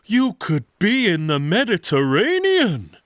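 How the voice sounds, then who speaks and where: A man's voice: sits high, huge pitch swings, measured pace, fairly bright tone, no room sound, clean studio sound, pitched above the performer's own voice, full character voice. A man voicing a gushing, pushy tourist-board promoter in an exaggerated salesy manner for a comic story.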